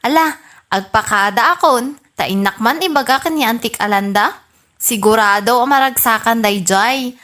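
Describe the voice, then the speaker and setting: An actress plays a young village woman in a radio comedy drama. She has an Ilocano accent and a fairly high voice, and talks in an eager, gossipy, chatty way. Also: normal speed, fairly bright tone, close to an everyday voice. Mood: delighted.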